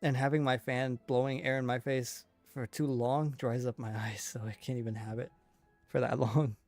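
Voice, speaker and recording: An ordinary mid-pitched man chats his way through a game stream. His commentary is informal and slangy, drifting into rambling asides, with music faintly behind him in a small bedroom. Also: steady tone, moderate pace, darkish tone. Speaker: game livestreamer. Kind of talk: casual gameplay commentary, reading dialogue aloud.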